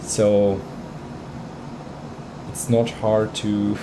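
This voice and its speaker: A man's voice: on the low side, completely flat delivery, extremely slow, background noise. A man whose first language is Bosnian, hosting a music livestream in casual chat, hesitant and full of fillers and restarts. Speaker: livestream host reviewing music tracks.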